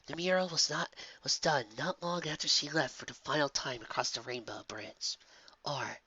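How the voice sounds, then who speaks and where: Regal mare mentor for storybook, read by a man: female, hushed, breathy, wise, gentle, unhurried. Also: a little high, dull audio, slight background noise. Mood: wistful, serious. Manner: measured, wistful.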